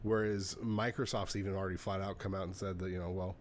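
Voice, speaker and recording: A man's voice: sits low, level tone, quick pace, a little hiss or hum. A man co-hosting a podcast, telling stories and news in a conversational way, acting out bits of quoted dialogue. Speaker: podcast co-host. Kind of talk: casual banter and storytelling.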